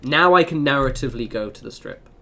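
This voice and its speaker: A young man with a mid-pitched voice talks to his livestream audience. He is casual and chatty, rambling through stories and breaking off mid-thought to start again. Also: fast, vocal fry. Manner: rambling, animated storytelling with self-interruptions. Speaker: game livestreamer. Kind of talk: casual livestream chat over gameplay.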